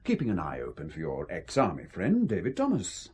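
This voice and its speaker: A man's voice: low-pitched, wildly swooping pitch, fairly quick, a little mellow, husky, exaggerated voice. A man with a British accent playing a suave, coercive intelligence officer, condescending and coolly menacing, in an audio drama. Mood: cool, informative. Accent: British English.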